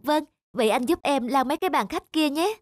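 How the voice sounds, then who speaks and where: A woman voicing a gentle, devoted young wife in a cartoon drama, polite in manner. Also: high-pitched, expressive, a little fast, very noisy. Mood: patient, gentle. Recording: music behind the voice.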